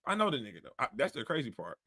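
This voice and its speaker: A man's voice: mid-range voice, wildly swooping pitch, very fast, bright, forceful tone. A man hosting a casual commentary show, talking bluntly and animatedly in a rambling way. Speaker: host.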